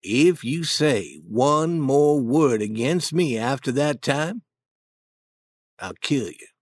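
A man voicing a calm, well-dressed man in his fifties who speaks slowly and quietly with cold menace. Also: medium pitch, dry recording, studio quality. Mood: cold threat.

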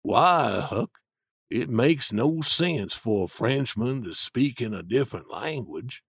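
A man reading an audiobook, voicing a stubborn grown man who argues slowly and insistently from common sense. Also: mid-range voice, expressive, vocal fry, husky, dry recording, clean studio sound, pitched below the performer's own voice, heavily acted. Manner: slow, insistent, arguing.

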